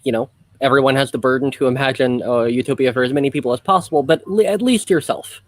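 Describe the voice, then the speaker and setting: A man hosting a relaxed conversational show, speaking in a halting, thoughtful way. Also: medium pitch, no echo. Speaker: host. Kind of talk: casual chat.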